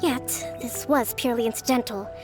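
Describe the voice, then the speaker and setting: A woman playing a gentle but commanding young military strategist in a video game, speaking in a formal, measured, authoritative way. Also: on the high side, fairly even intonation, close to an everyday voice. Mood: stern, measured. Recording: music behind the voice.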